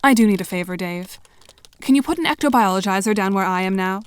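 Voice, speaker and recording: A woman's voice: medium pitch, fast talker, clean tone, lightly acted. A woman playing a dry, self-assured, sardonic teenage girl with formal diction in an audio drama.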